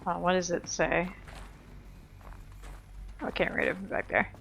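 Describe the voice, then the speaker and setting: A woman's voice: on the low side, level tone, measured pace, vocal fry, a bit bright, slight background noise. A woman giving casual, informal let's play commentary, thinking aloud as she plays. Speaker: let's player.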